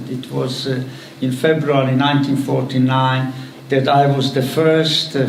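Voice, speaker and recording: A man, speaking to an audience in a halting way with many pauses. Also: medium pitch, a little hiss or hum. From Slovakia. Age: 80s. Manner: halting, with frequent pauses and fillers. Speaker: guest speaker. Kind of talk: public talk answering questions. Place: public event room. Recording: echoey hall from far off.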